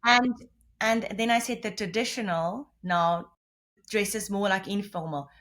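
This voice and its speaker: Namibian woman, Afrikaans native, interview guest; chatty, loose, self-correcting delivery, mid-pitched. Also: a bit bright. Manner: rambling, self-correcting explanations with many restarts. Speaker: podcast guest. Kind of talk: conversational interview.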